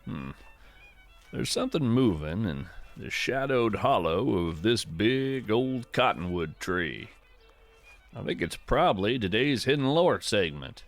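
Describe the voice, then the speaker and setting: A man narrates a nature podcast in a contemplative, scripted style. He speaks slowly with a lively, varied pitch and a gently wry manner. Faint fiddle music plays behind him.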